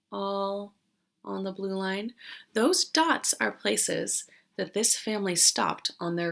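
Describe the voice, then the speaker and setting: Female kindergarten teacher for a children's read-aloud. Slow pace, medium pitch, simple explanations, addresses young listeners directly. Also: gravelly, fairly bright tone.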